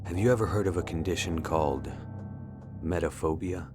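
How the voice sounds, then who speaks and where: Guy voicing earnest worried dad turned investigator in a point and click game, really deep voice, restrained and suspicious, music under it. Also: normal speed, close to an everyday voice. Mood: guarded, curious. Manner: questioning, guarded, restrained. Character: earnest, wary amateur investigator, a worried father. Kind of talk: adventure game dialogue acting.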